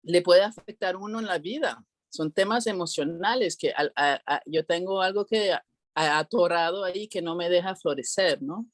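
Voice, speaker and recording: A woman speaking as an interview guest, explaining things conversationally and haltingly, often breaking off and repeating words. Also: fairly low pitch, dry recording, studio quality. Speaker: podcast guest.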